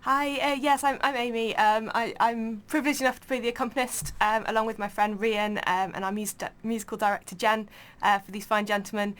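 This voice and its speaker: A woman from Wales who plays piano for a choir, a guest in a radio studio. She speaks quickly in a fairly high voice, with a bubbly, self-deprecating manner. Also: fairly even intonation, a bit bright. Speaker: choir accompanist.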